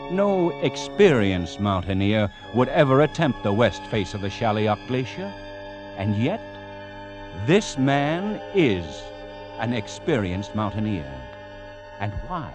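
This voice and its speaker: A man narrating a 1940s radio drama in a suspenseful, theatrical style with deliberate emphasis. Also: mid-range voice. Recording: 1940s radio broadcast recording.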